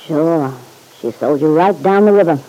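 A man's voice: a little high, normal speed, dark tone, highs cut off, lightly acted. An actor playing a stern, insistent man in an old-time radio serial, blunt, slangy and accusing, pressing for the truth. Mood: urging.

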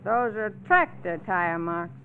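A woman's voice: mid-range voice, lively intonation, takes it slowly, a little hiss or hum. An actress playing a cool, commanding, suspicious middle-aged country mother in an old-time radio drama. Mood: guarded. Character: hard, suspicious rural mother holding a shotgun. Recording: tinny narrow-band vintage radio recording.

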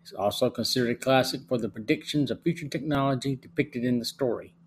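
A man's voice: medium pitch, quick pace. Middle-aged man narrating over faint hum. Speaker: podcast host and reviewer. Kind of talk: scripted narration, plot summary and review. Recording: faint hum and background noise.

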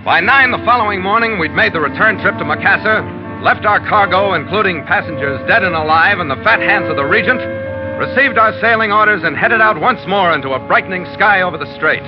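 An actor with an American accent playing a steady, wry ship's captain who narrates his adventures in an old radio drama. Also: sits high, fairly quick. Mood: vivid narration. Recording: dull old radio recording, music behind.

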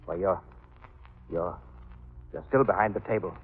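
An actor playing a cornered male poisoner in a 1940s radio drama, with a melodramatic, excitable villain's delivery. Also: deep voice, moderate pace, breathy edge. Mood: sinister, uncertain. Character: cornered male poisoner, smug turning panicked. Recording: tinny, narrow old radio recording.

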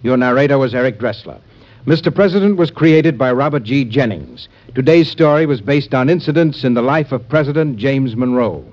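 A man's voice: mid-range voice, animated, moderate pace. A man reading scripted credits as a 1940s radio announcer. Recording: muffled old radio recording.

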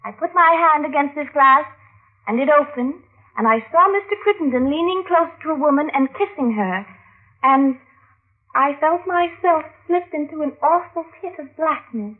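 A high, theatrical female voice from vintage radio drama, an actress at work. She is a lovelorn defendant on the stand, coy and melodramatic. The recording is narrow and muffled. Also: normal speed, close to an everyday voice. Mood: haunted. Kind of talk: old-time radio acting.

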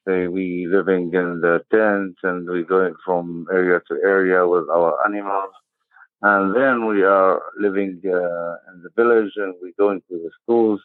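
Man, Palestinian Arabic accent, native Arabic speaker, slow hesitant delivery, searching for words, interview guest, narrow phone-like sound. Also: sits low, steady tone, no room sound. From east of Jerusalem, Judean desert. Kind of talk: halting personal account in conversation. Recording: muffled narrow-band phone-like line.

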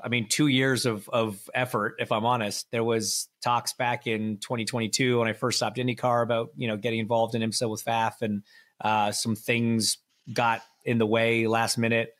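A man's voice: mid-range voice, level tone, moderate pace, fairly bright tone. Joking Canadian man guest on a podcast. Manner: self-deprecating, joking, many restarts. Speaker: racing driver and commentator guest. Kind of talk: casual podcast chat.

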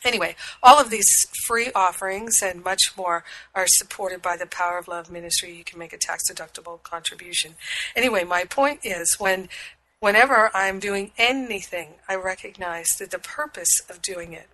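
A woman hosting a spiritual radio talk, her voice husky and hoarse from a cold, speaking slowly with light laughs. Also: medium pitch, sharp tone, a little hiss or hum, poor audio. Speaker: radio show host and spiritual teacher.

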